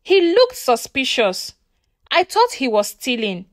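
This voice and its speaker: A woman's voice: high-pitched, wildly swooping pitch, takes it slowly, no room sound, clean studio sound, full character voice. A Nigerian-accented woman voicing a defensive, sullen accused sister in a folktale, subdued in manner.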